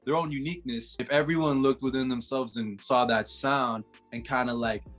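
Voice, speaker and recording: An American man in his twenties chatting casually on a video call in an upbeat, slangy, expressive way. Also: medium pitch, normal speed. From Orlando, Florida, US. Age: 20s. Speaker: dating show contestant, musician. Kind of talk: casual chat on a video date. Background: background music.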